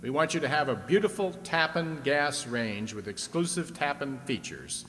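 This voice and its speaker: An actor playing a chatty, teasing 1950s radio game show host. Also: male voice, mid-range voice, expressive, normal speed, a bit bright, close to an everyday voice. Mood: teasing, amused. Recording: echoey hall with long reverb, faint noise.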